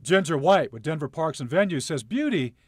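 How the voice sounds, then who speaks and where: A man delivers a scripted news feature as a TV reporter. His voice sits fairly high and swings widely in pitch. The telling is playful and theatrical, with faint noise behind it. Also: expressive, a little fast. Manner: playful, dramatic storytelling.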